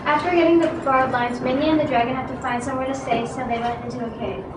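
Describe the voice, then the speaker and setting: A woman's voice: high-pitched, fairly even intonation, racing. A female performer reading narration and lines in an amateur readers theatre show. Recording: very noisy echoey room, distant mic.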